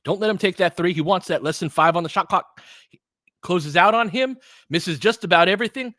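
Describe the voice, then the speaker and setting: A man's voice: sits high, fairly quick, bright, forceful tone, no echo, clean studio sound. A man giving informal live basketball play-by-play as a partisan commentator who urges on the home team.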